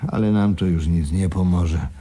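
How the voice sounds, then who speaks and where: An actor playing a bitter, irritable businessman in a radio play, with a husky, dark voice. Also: sits low, normal speed, background noise, lightly acted. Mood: resigned, bitter. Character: bitter, irritable male businessman cheated of millions. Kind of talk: 1980s Polish radio play acting.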